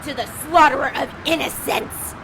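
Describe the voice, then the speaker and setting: A woman's voice: high voice, moderate pace, noisy background, lightly acted. A woman playing a haughty, cold witch. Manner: formal, disdainful speech. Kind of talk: audio drama acting.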